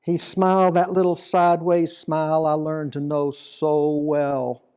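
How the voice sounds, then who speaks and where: A man reading a dramatic monologue poem as an aging, plain-spoken fisherman apostle, deliberate, reflective and full of guilt and devotion. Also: fairly high pitch, level tone, darkish tone, clear, resonant tone. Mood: fond, reminiscing. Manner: slow, deliberate, reflective storytelling.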